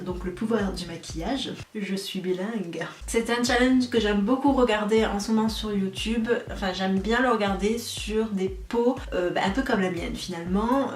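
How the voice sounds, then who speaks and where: A young woman giving a casual makeup tutorial, chatty and self-mocking, with frequent fillers. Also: mid-range voice. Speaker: beauty vlogger. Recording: echoey room, voice a bit far from mic, faint music.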